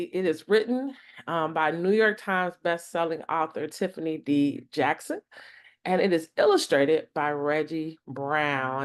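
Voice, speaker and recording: A woman reading a children's picture book aloud as a storytime host, expressive and deliberate in her phrasing. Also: low-pitched.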